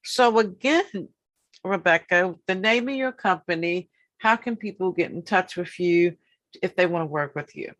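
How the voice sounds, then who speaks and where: A woman in her fifties hosting an interview, talking in a slow, emphatic way and repeating her points. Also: on the low side, fairly bright tone. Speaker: podcast host. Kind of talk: casual interview.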